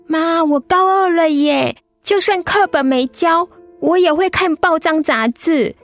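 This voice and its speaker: High girlish teenage daughter. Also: a little slow, faint music behind. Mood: curious, frank. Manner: girlish, cute, frank. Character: pouty, affectionate high-school daughter. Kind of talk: radio drama acting.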